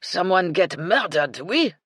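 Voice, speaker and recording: A woman's voice: lowish voice, very animated, measured pace, husky, no echo, clean studio sound, full character voice. A woman voicing an irate male French chef with a French accent, indignant and theatrical.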